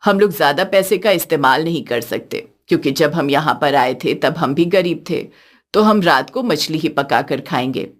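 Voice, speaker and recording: Cartoon mother-in-law, female narrator acting: older woman, thrifty, commanding, deepened voice, blunt delivery. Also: lively intonation, moderate pace. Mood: stern.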